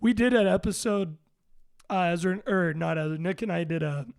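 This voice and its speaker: A young man with a raspy, hoarse voice hosting a casual podcast, rambling and joking through quick restarts. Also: high voice, dry recording, clean studio sound. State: hoarse, voice worn out. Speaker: podcast host.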